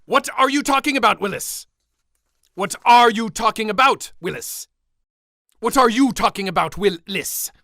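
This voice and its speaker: Manic, creaky-voiced mad scientist. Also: male voice, sits high, normal speed, sharp tone, no room sound, clean studio sound, full character voice. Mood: giggly, theatrical. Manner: theatrical, exclaiming, cackling. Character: manic, giggling mad scientist, cranky, childish, boastful man. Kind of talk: comedic audio drama acting.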